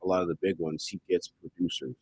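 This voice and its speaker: A man with a very low voice chats casually in a podcast studio. He is easygoing and a little tentative in how he puts things. A faint rumble sits under the recording. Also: level tone, quick pace, rough recording.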